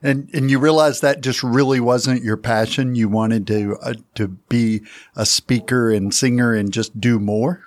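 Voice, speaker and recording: A man's voice: medium pitch, fairly even intonation, measured pace, no room sound. A man co-hosting a podcast interview, affirming the guest and asking follow-up questions. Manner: affirming, asks follow-up questions, frequent "wow". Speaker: podcast co-host.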